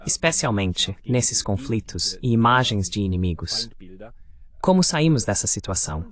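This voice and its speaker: Male host voice-over, medium pitch, dubbed interview questions and commentary.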